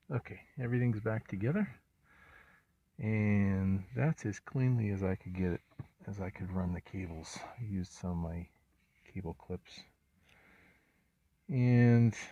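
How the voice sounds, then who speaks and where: Low, gravelly man, muffled recording. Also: mellow. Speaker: vlogger doing truck accessory installs. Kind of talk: casual hands-on how-to narration. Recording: muffled lo-fi audio, faint noise, clicks.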